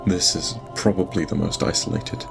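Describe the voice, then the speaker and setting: Man acting a shaken, low, gravelly-voiced lord. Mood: distressed, remorseful. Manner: halting, stammering, broken off with sighs. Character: shaken, guilt-ridden lord dictating a private log. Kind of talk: audio drama acting, personal log monologue. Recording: music behind the voice. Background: music.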